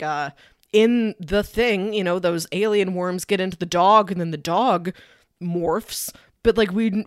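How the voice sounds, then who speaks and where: A woman's voice: medium pitch, no echo, studio quality. A woman co-hosting a film podcast, talking casually in a chatty, analytical way. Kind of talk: casual movie discussion.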